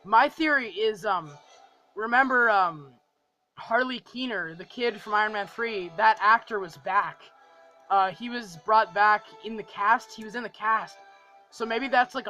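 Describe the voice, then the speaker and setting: A man's voice: sits high, bright, forceful tone, poor audio. A young man giving a casual, enthusiastic video review, gushing and rambling and repeating phrases for emphasis. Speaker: film review vlogger.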